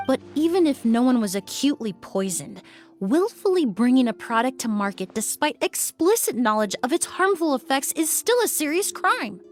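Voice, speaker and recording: High-voiced, astute female investigator. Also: sing-song, normal speed, soft background music. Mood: stern deduction. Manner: articulate, formal, probing. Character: astute, principled young woman investigating wrongdoing. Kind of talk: video game voice acting.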